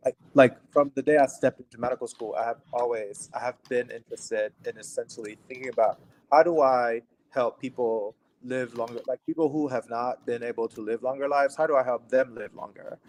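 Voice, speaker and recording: A man's voice: medium pitch, a little fast, a little mellow. Casual young man telling his story. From Cameroon. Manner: informal, conversational, often says "like" and "right?". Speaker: physician guest. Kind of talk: casual personal storytelling in an interview.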